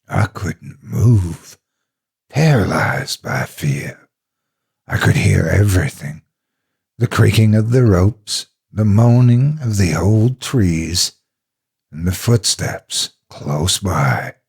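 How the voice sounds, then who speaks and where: A husky-voiced man reading a scripted first-person horror story in a dramatic, measured storytelling manner. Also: on the low side, darkish tone.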